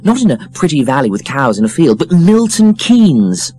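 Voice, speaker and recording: A man's voice: a little high, sing-song, moderate pace, mellow, breathy edge, voice pushed up. Guy doing a snobby young woman, raised swoopy voice, cutting and sweary, audiobook style. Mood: scornful, exasperated. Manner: sneering, emphatic, cutting. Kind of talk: audiobook character voice.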